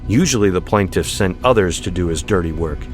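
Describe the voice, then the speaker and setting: A man's voice: deep voice, animated, brisk. A man giving a dramatic, scripted audiobook narration of a story, with music behind him.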